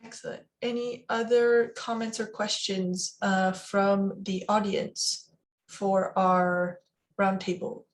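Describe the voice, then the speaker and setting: A woman with a medium-pitched voice moderates a roundtable. Her style is informal, made up of short acknowledgements and invitations for others to speak. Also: little pitch movement, slow pace, low-quality recording.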